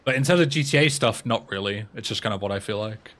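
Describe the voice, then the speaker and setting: A man's voice: on the low side, quick pace, fairly bright tone. Chatty English guy in his twenties. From England. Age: 20s. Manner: chatty, answers viewers' messages aloud between gameplay talk. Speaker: streamer and speedrunner. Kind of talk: casual livestream chat.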